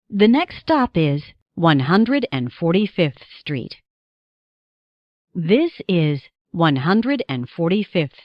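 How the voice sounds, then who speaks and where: An American woman reading scripted subway announcements as an automated announcer, in measured, segmented phrases. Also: lowish voice, no room sound, highs cut off.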